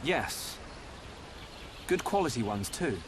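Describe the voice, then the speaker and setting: A man's voice: mid-range voice, sing-song, takes it slowly, background noise. A man voicing an earnest young swordsman hero in a video game, asking and declaring things with sincerity. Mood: subdued.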